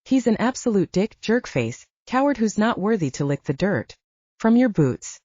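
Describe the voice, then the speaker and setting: A female narrator acts out a brash, loyal best friend in a romance audiobook. She is encouraging and blunt, her tone mellow and her pitch animated. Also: moderate pace, no echo, lo-fi sound. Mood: indignant. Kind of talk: audiobook romance character acting.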